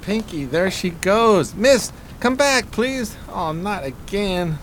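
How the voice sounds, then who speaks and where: A man's voice: sits high, expressive, slow pace, a bit bright, slight background noise, heavily acted. An actor playing a scheming homeless man in a 1920s radio drama, with florid, mock-genteel diction and a hapless air. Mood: flustered. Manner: florid, ornate, theatrically polite diction. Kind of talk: radio drama acting, 1920s period piece.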